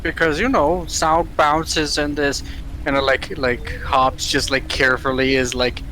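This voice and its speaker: A man playing a tabletop role-playing game, describing his action in a halting way with repeated words. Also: a little high, level tone, low-quality recording.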